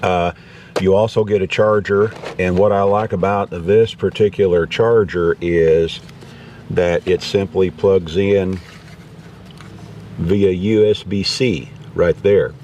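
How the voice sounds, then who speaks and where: A man's voice: deep voice, fairly even intonation, slow pace, darkish tone. A man hosting a casual, unscripted gear review in a folksy, rambling way. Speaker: video host reviewing gear. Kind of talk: casual unscripted product demonstration. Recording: distant mic, background noise.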